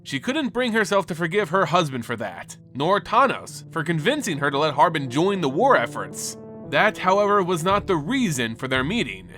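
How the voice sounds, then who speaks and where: A man's voice: a little high, very animated, normal speed, pushed and bright, faint music behind. A man giving a solemn, theatrical dramatic reading of fantasy lore as a storyteller. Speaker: narrator.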